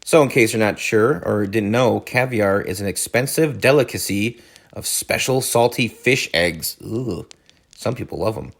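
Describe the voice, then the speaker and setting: A man's voice: fairly low pitch, unhurried, vocal fry, breathy edge. A man reading a story aloud as a teacher, adding informal explanatory asides.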